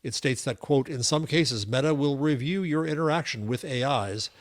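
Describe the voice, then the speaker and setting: A man's voice: on the high side, moderate pace. Wry, digressive older man co-hosting. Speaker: podcast co-host. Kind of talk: casual chat.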